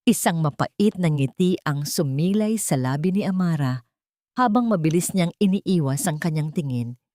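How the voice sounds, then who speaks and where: A woman tells a scripted drama as an audio storyteller. Her voice sits a little low, and the recording is very dry, with no room sound around her narration. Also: expressive, normal speed, dark tone, no room sound.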